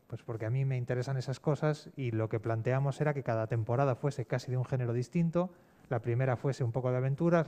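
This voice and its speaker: A man's voice: medium pitch, warm tone. A man with an accent from Spain, a scriptwriter talking discursively in a panel discussion, repeating words for emphasis. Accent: Spanish from Spain. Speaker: fiction scriptwriter and guest panelist.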